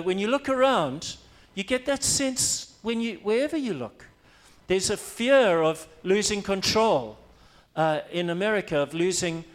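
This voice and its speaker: A man from Africa, a pastor preaching a sermon, emphatic and repeating his key phrases for weight. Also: high voice.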